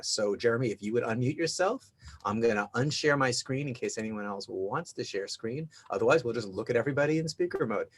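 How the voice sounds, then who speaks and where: A man hosting an online networking event, keeping things moving with upbeat, chatty remarks over a video call. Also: medium pitch. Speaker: host.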